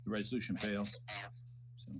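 A man chairing a formal board meeting, speaking off the cuff in a hesitant, halting way. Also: fairly low pitch, level tone, slight background noise.

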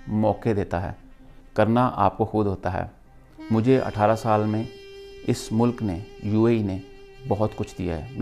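A middle-aged man with a Pakistani accent speaks in a fairly low voice, delivering a motivational talk to camera. His phrasing is earnest and emphatic, broken by deliberate pauses. Soft instrumental music plays behind him.

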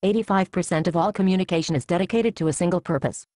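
A low voice in a very dry recording, playing a grandiose robot conqueror from a cartoon acted by a woman. The delivery is pompous, formal and declamatory, with cold logic behind every line. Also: quick pace, mellow, no room sound, natural voice. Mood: coldly triumphant. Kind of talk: scripted animated comedy dialogue.

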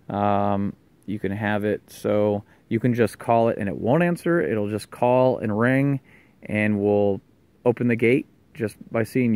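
A man's voice: mid-range voice, darkish tone. An American man giving a casual, unscripted explanation as a DIY video maker, talking outdoors into a handheld camera. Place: outdoors in a leafy wooded yard.

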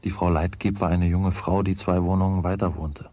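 A man's voice: sits low, flat delivery, normal speed, warm tone, dry recording. A man narrating a children's radio play as its scripted storyteller. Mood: measured.

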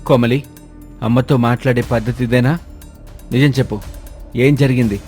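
A man's voice: medium pitch, fairly even intonation, moderate pace, darkish tone, soft background music, natural voice. A man voicing an angry young husband in a dramatised moral story, demanding answers with disbelief. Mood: angry, doubting. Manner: demanding, incredulous. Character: angry, stern young husband questioning his wife.

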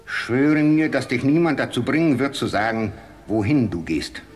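An actor playing a solemn, commanding Tsar in a German radio play, speaking in formal, imperious, measured phrases. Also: male voice, medium pitch, lively intonation, soft background music, close to an everyday voice. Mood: grave warning. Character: solemn, commanding Russian Tsar, a grave male monarch.

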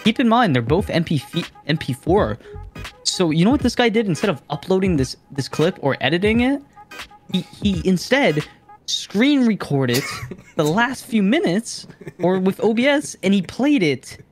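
A young man with a fairly high voice riffs on gameplay clips, cracking jokes and breaking into quick explanations. Faint music plays behind him. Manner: chatty, jokey, explains things, stammers when excited. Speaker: co-host reacting to gameplay clips. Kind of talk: casual reaction commentary.

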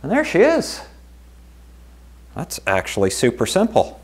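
A man's voice: a little high, measured pace, a little hiss or hum. A man in his thirties, a veterinarian, hosting a product unboxing in a living room and talking with eager, chatty enthusiasm. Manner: enthusiastic, chatty, lively exclamations. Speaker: veterinarian and channel host. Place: living room with wooden table, indoors.

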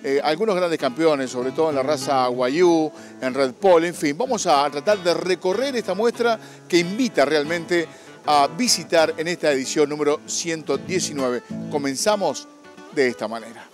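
A man's voice: on the high side, moderate pace, music in the background. A middle-aged man, reporting from an outdoor livestock show and interviewing breeders on a handheld mic. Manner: lively presenter greeting, then quiet interview questions.

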